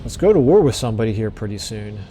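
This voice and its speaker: A man commentating his strategy game play casually, thinking aloud with fillers, his voice going hoarse. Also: fairly low pitch, sing-song, quick pace, dark tone, slight background noise. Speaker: let's play host.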